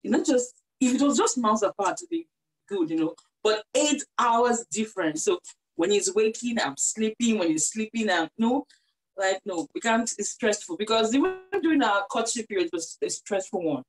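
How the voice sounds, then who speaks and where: A young Nigerian woman guest in a casual interview, cheerful and quick to laugh. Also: mid-range voice, lively intonation, poor audio.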